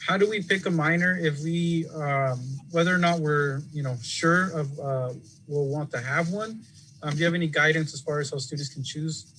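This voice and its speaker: Man moderator, fairly high voice, polite, lots of uhs, faint noise behind. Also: normal speed, fairly bright tone, rough recording. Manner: polite, hesitant, many fillers. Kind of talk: moderating a panel Q&A.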